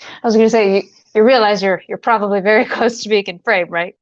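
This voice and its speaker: A woman's voice: medium pitch, steady tone, fast talker, no echo, dull audio. A woman livestreamer chatting casually while tinkering, in a hesitant, rambling way with playful asides. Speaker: livestreamer, host.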